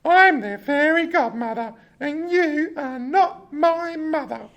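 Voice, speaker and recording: Bold fairy godmother, very high and loud, scolding, children's radio play. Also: takes it slowly, full character voice. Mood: indignant.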